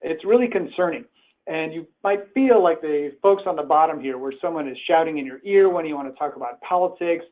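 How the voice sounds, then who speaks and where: A man's voice: on the high side, fairly quick. An earnest, conversational man born in Iran, giving a presentation from home over a call. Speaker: webinar speaker. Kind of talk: presentation talk. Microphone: remote call. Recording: tinny phone-like web call audio.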